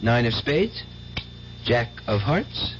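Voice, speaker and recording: A devout, needy nephew in a radio drama, a man of middling pitch who speaks with great swings and earnest conviction. The old recording is dull, with faint noise. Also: sing-song, slow pace. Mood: confident. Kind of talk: 1970s radio drama acting.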